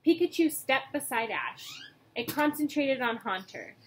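A young woman reading a children's book aloud in a storytelling style, acting out dialogue and pausing to point out pictures. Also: high voice, huge pitch swings. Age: young adult. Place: small room at home, indoors. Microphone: webcam or laptop mic at arm's length. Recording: noisy home webcam recording.

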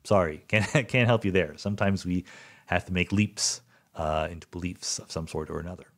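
A man's voice: low-pitched, normal speed. A man hosting a podcast, talking through listener questions in a casual, explanatory monologue with conversational check-ins and plain, informal wording. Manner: conversational, often checking with "right?".

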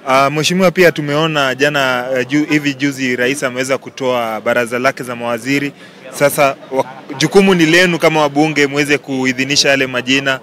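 A male reporter fires off quick questions on a city street outdoors. His voice is bright and forceful, and the pace stays rapid. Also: medium pitch, fairly even intonation. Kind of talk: interview questions.

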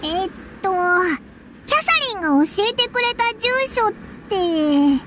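Squeaky, cheeky little fairy sidekick. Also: female voice, expressive, unhurried, very noisy. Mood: hesitant. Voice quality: squeaky, childlike. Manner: brash, blunt, boyish casual speech. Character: small floating fairy companion, childlike, cheeky and excitable. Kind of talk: anime game voice acting. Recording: loud game music behind.

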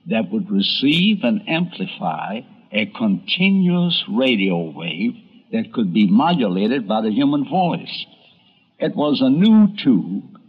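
A man's voice: mid-range voice, slightly rough, muffled recording. An older man hosting a documentary, narrating from a script in a conversational storytelling way. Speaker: documentary host and radio columnist.

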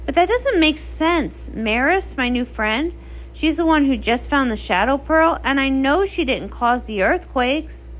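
A woman performs a bubbly young pony princess from a comic story. The girlish voice sits high and swoops around, chatty and full of dramatic emphasis. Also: lively intonation, a little slow. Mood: earnest, dramatic.

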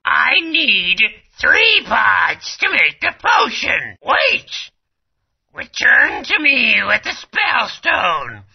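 A gravelly mutated creature guardian cries out her pleas slowly. Her pitch swings wildly, her voice husky and fried. The recording is dull and lo-fi. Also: heavily acted. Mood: urgent. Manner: gravelly, wailing outbursts. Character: trapped old female creature guardian, gravelly. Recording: muffled lo-fi audio.

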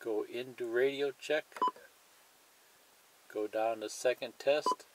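A man's voice: mid-range voice, takes it slowly, very noisy. A man presenting a hands-on tutorial in a plain, methodical way, explaining each step and repeating it.

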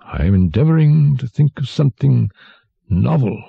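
A man's voice: medium pitch, sing-song, slow, breathy edge, no room sound, narrow, tinny audio, pitched above the performer's own voice, exaggerated voice. A man voicing a meek, subdued dwarf court jester in a storybook reading, speaking hesitantly. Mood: dazed.

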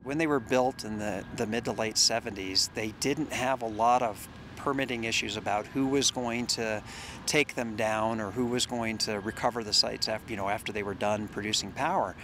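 A man's voice: mid-range voice, normal speed, a bit bright, slight background noise. Man in his fifties interviewed outdoors. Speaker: research center director. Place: outdoors by a campus building.

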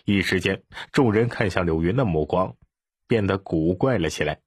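A man's voice: low-pitched, moderate pace, breathy edge, no room sound, studio quality. A man reading an audiobook as narrator in a scripted, dramatic storytelling style.

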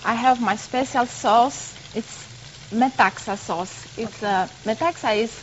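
A middle-aged woman cooks live in a TV studio kitchen, speaking slowly and stopping to find her words. The broadcast sound is dull and muffled, with a faint hiss underneath. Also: a little high. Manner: halting, searching for words while cooking. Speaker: restaurant chef. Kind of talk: live TV cooking demonstration.

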